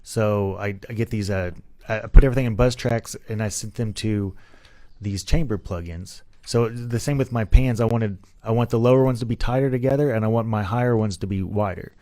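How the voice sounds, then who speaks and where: A man's voice: on the low side, brisk, warm tone. Casual man giving a music tutorial. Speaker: music production tutor and violinist.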